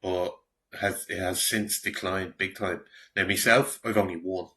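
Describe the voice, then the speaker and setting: Bright-voiced blunt Irish man. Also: sits low, fairly even intonation, measured pace. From Ireland. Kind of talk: casual opinion commentary.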